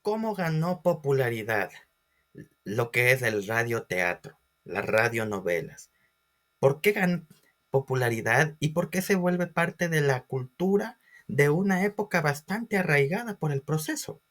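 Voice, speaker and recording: A man presenting an informal online class as a teacher, expressive and speaking directly to his listeners. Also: on the high side, a little slow. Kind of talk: informal educational lesson for video.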